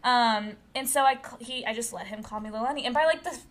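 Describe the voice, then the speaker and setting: A woman in her late twenties, originally from Brazil, co-hosting a casual podcast chat, outspoken and quick to laugh. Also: a little high. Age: late 20s. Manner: chatty, outspoken, laughs a lot. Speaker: podcast co-host.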